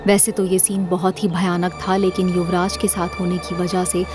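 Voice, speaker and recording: A woman's voice: lowish voice, flat delivery, moderate pace, over music. A woman reading scripted narration for an audio drama series.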